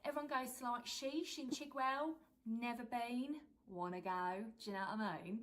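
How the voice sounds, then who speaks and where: High-voiced British woman demonstrating accents. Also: moderate pace, a bit bright, a little hiss or hum. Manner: shifts between put-on regional British and Irish accents. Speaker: presenter of an accent video.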